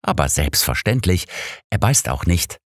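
A man voicing a genteel, easily flustered older gentleman in an audiobook. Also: low-pitched, big pitch swings, measured pace, no echo, studio quality, exaggerated voice. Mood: polite, apologetic.

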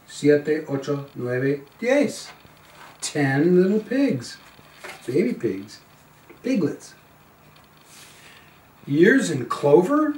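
Older man reading slowly, very animated. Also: a little high. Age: older, around 70. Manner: slow, singsong, playful calls with quieter asides. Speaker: grandfather reading a picture book aloud. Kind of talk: expressive children's storybook read-aloud. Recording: roomy, a little far from the mic.

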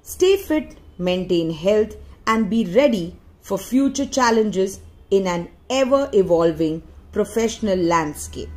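Woman narrator, article read-aloud, slow pace, very varied intonation, deliberate phrasing, frequent pauses. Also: mid-range voice, lively intonation, darkish tone. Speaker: audiobook reader.

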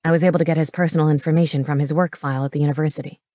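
A woman voicing a gruff, brainy man in an audiobook, speaking in a terse, matter-of-fact and intense way. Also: deep voice, fairly even intonation, rapid-fire, mellow, no room sound, clean studio sound, voice pushed down, natural voice. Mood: focused. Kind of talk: audiobook character dialogue.

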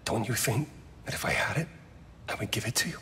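A smug deep-voiced tech villain. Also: male voice, animated, pushed and bright, slight background noise. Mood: impatient. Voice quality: smooth, condescending. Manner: casual, taunting, drawn-out pauses. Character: smug scheming male tech CEO, middle-aged. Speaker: corporate villain. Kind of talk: video game cutscene acting. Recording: game audio with long reverb and faint ambience.

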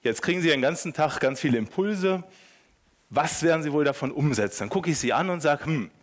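A man's voice: a little high, expressive, brisk. A man, giving an informal, playful conference talk and putting questions to his listeners. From Germany (Hanover). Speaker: keynote speaker, professor. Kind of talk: lively conference talk to an audience. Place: large hotel conference room. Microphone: headset.

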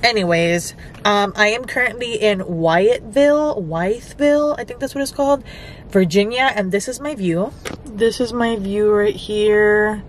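A woman's voice: medium pitch, measured pace, rough recording. Young woman, casual car vlog, chatty and rambling, dashboard camera, faint road hum. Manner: chatty, filler-heavy talk. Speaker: vlogger. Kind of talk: casual chat. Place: inside a car. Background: car and road noise.

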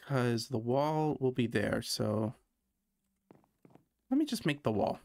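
A man's voice: medium pitch, slow pace, gravelly, a little mellow. A man from Canada chatting casually while streaming a building game, thinking aloud and poking fun at himself. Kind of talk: casual gameplay commentary.

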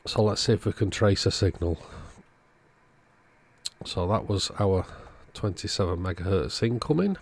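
A man with a deep, gravelly voice talks through a repair at his home workbench. He thinks aloud in a calm, methodical way, and his tone has a slightly husky edge. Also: measured pace. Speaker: electronics repair video host. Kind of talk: casual narrated repair walkthrough.

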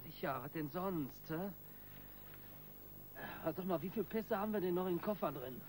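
An actor playing a gruff, vengeful bank robber on the run, cursing and slangy, in a radio crime drama. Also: male voice, sits high, lively intonation, normal speed, a little hiss or hum.

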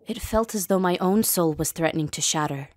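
A woman playing a weary, stern, wounded goat-monster queen with formal, measured diction, in a dramatic comic dub. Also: lowish voice, darkish tone, no room sound, lightly acted. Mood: sorrowful.

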